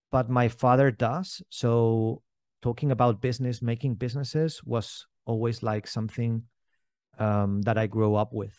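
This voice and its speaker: A man's voice: mid-range voice, darkish tone, no room sound. Man, dry close sound. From Spain. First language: not English. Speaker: podcast guest, entrepreneur. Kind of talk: casual interview storytelling.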